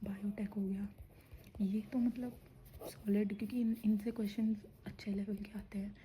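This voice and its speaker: Young woman, hushed whisper, sore throat, flat even delivery, faint room hum. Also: mid-range voice, normal speed, poor audio. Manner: hushed whispering, mixing Hindi and English. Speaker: student preparing for a medical entrance exam. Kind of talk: whispered study vlog talk.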